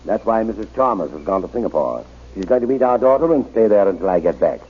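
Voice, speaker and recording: Husky, expressive pious missionary reverend. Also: male voice, fairly low pitch, fast talker. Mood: grave, concerned. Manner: earnest, formal, preacherly phrasing. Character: pious, resolute older missionary reverend, fatherly. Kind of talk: 1930s radio adventure serial acting. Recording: muffled old radio broadcast recording.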